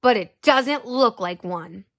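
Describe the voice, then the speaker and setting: A woman's voice: on the high side, lively intonation, slow pace, fairly bright tone, heavily acted. Woman voicing a grumpy, snappish young storybook boy. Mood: doubtful. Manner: sneering, snappish. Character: grumpy young boy artist, sullen big brother to Marisol.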